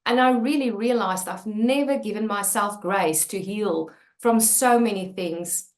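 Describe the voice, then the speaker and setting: South African woman author, Afrikaans first language, sincere and halting, roomy sound. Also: mid-range voice. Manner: candid and earnest, many fillers and restarts. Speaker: author and channel host. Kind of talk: personal talk about her book.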